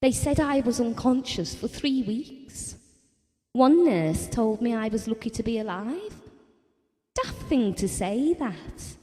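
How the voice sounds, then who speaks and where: A woman's voice: fairly high pitch, lively intonation, takes it slowly, mellow. An actress playing a blunt, bitter wife and mother, confiding her memories in an audio drama. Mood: subdued. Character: Ellen, wife and mother recalling a family tragedy, bitter and blunt. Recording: reverberant hall-like sound.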